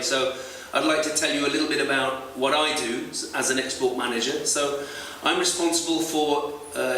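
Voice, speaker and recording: A man's voice: mid-range voice, a bit bright. A man working as an export sales manager gives an educational talk to retail staff, explaining at length with frequent hesitations. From not French. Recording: very echoey, far from mic, faint hiss.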